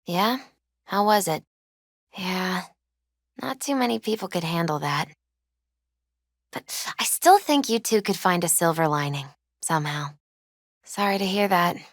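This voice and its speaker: A gentle young woman who reads tarot, speaking in a lowish, soft-spoken voice. She is measured and earnest, with a mystical, foreboding weight to her delivery. The sound is very dry, as in game dialogue. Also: expressive, dry recording. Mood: casual, caring. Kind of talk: video game character dialogue.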